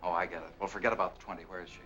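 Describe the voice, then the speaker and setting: An actor playing a hot-headed, blunt young American bridegroom in a 1940s film noir, on an old soundtrack. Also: male voice, deep voice, steady tone, very fast, over music, close to an everyday voice, poor audio. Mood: angry, accusing. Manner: insistent, blunt. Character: hot-headed young bridegroom searching for his missing fiancée.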